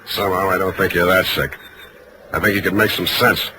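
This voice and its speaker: An actor playing a blunt, tough, wisecracking private detective in terse 1940s radio drama style, on a noisy old recording. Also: male voice, low voice, steady tone, fairly quick, lightly acted. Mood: brusque. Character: hard-boiled, blunt private detective, a grown man.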